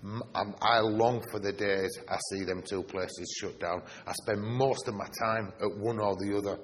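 A man's voice: fairly low pitch, fairly even intonation, normal speed. A man with a Yorkshire accent preaching a sermon, stressing key words and asking the congregation rhetorical questions. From Yorkshire, England. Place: church hall. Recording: reverberant hall with faint noise.

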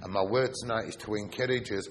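A Yorkshire man preaching in a church, his fairly low voice carrying a long reverb through the hall. He leans hard on single words and tosses rhetorical questions to the congregation. A faint hiss sits under it all. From Yorkshire, England. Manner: emphatic, drawn-out stresses with rhetorical questions. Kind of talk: sermon.

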